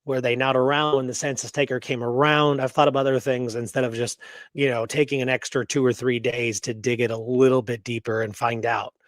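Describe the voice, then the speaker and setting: Male host, medium pitch, presenting research casually and thinking aloud, close dry recording. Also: flat delivery, fairly quick, dry recording.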